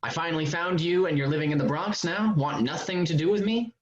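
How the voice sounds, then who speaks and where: A man's voice: fairly high pitch, a little fast, close to an everyday voice. A man playing a controlling, insinuating ex-soldier, casual and pressing, in a play reading with dull call audio. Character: insinuating, controlling ex-soldier, abusive former partner. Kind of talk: staged play reading over video call.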